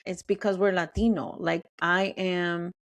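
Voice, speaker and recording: A woman's voice: on the low side, level tone, unhurried. A woman from Mexico, a middle-aged podcast host, talking in an emphatic, conversational monologue and putting questions to her listeners. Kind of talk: casual monologue.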